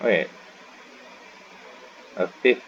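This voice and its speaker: A man's voice: very slow, slight background noise. A man giving casual, rambling commentary as he plays through a game, often muttering to himself. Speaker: gaming streamer. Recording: slightly dull mic, game music behind.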